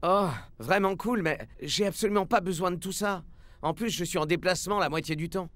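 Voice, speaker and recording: A man's voice: high-pitched, fairly quick, fairly bright tone, a little hiss or hum. A man playing a modest, hesitant young football star in a cartoon, casual and polite. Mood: politely declining. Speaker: voice actor in a children's animated series.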